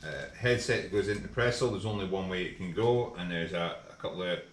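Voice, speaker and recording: A middle-aged Scottish man casually explaining a kit installation in a workshop, relaxed and full of ums. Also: low-pitched, fast. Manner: relaxed, hesitant with frequent ums. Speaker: workshop vlogger. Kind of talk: casual how-to demonstration. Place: large indoor workshop. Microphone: camera mic from overhead. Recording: echoey workshop with faint hum.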